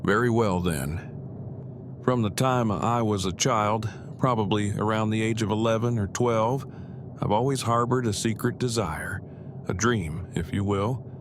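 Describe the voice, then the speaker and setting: A man's voice: fairly low pitch, unhurried, noisy background, close to an everyday voice. A man playing an earnest, bookish husband in an audio drama, speaking in formal, wordy and precise phrases. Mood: hesitant, confiding. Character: verbose, earnest, bookish husband on a road trip.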